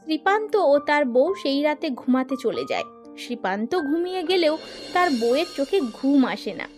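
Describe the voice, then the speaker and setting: A woman's voice: high-pitched, big pitch swings, a little fast, soft background music. A woman reading scripted narration for a children's fairy tale.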